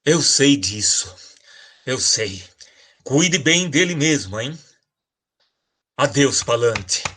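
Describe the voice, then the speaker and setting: A man playing a proud, worried old warrior king in an audio drama, solemn and dramatic with a husky voice. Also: mid-range voice, expressive, slow pace, pushed and bright, exaggerated voice.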